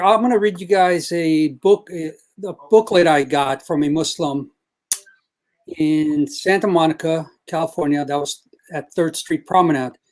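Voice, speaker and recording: A man appearing as a returning guest on a talk show, reading long quotes from a booklet slowly and deliberately, with fillers like "uh" between them. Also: fairly high pitch. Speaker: guest speaker.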